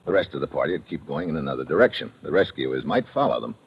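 Sardonic American adventurer, very deep pitch, old radio drama style, heavy background noise. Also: male voice, quick pace, creaky, exaggerated voice. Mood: persuasive. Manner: wry, sardonic, deadpan. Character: tough, wry American adventurer hero in the jungle. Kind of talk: old-time radio drama acting and narration. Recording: muffled, noisy old radio recording.

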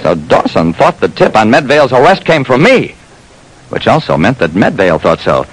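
A man's voice: mid-range voice, wildly swooping pitch, moderate pace, husky. An actor playing a tough private detective narrating his case in clipped, terse 1940s radio drama style, on a noisy old recording. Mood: hard-boiled narrating. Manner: clipped, terse, hard-boiled.

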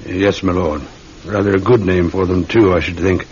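An actor playing a dutiful, methodical British police sergeant in an old radio drama, polite and measured. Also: male voice, low-pitched, fairly even intonation, darkish tone, slightly rough. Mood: patient, probing. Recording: dull, noisy old radio recording.

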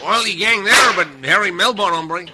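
An actor playing a simple, jolly Mexican sidekick with a thick Mexican accent and broken English in an old radio western. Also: male voice, high-pitched, moderate pace, lightly acted. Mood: matter-of-fact. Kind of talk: 1950s radio western acting. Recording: noisy old radio broadcast with clicks.

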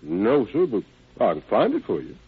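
A man's voice: lowish voice, wildly swooping pitch, moderate pace, slightly rough, heavily acted. An actor playing an evasive, deferential working man with folksy, ungrammatical speech and polite yes-sirs, in an old radio drama style. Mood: evasive, hesitant. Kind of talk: 1940s-50s radio drama acting. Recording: tinny band-limited old radio recording.

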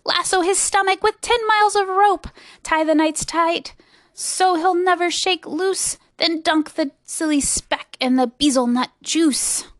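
A woman voicing a bullying gang of jungle creatures in a storybook, shouting aggressive orders. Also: extremely high pitch, unhurried, no echo, studio quality, voice pushed up. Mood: aggressive, jeering. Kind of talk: storybook character voice.

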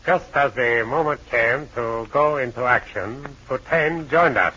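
Polite Chinese colonel, husky yet crisp-edged and bright, careful formal phrasing, muffled vintage radio sound. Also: male voice, medium pitch, measured pace. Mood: measured. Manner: formal, hesitant, courteous phrasing with frequent uh. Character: polite, dignified, measured Chinese army colonel. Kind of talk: 1940s radio adventure acting. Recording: muffled old radio recording.

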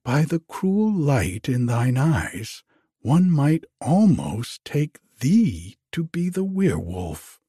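A man's voice: mid-range voice, very animated, slow, warm tone, breathy edge, no echo, voice pushed up, exaggerated voice. Man as storybook maiden in love, proud, teasing, theatrical, archaic wording, dry sound. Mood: startled.

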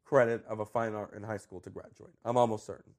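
A young man from Colombia, an orchestra director, chatting in an interview, animated and laughing often. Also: on the low side, quick pace. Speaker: high school orchestra director. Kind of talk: conversational interview.

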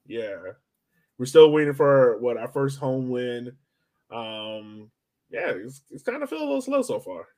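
A man's voice: medium pitch, sing-song, measured pace, darkish tone. A man hosting a sports podcast in a casual, chatty way, often rambling, hedging and repeating himself as he goes.

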